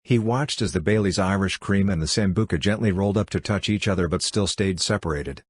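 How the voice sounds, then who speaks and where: Deep husky man playing a writer narrator. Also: brisk, no room sound. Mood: measured. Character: measured male writer-narrator listening to a chief. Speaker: narrator and interviewer. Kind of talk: radio drama narration.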